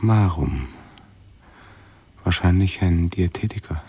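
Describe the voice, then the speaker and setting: An actor playing an anxious, pedantic, self-absorbed male hypochondriac in a radio play, with a husky, breathy voice and halting, formal phrasing. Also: deep voice, lively intonation, warm tone, no room sound, full character voice. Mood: pedantic, brooding. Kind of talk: 1970s German radio play acting.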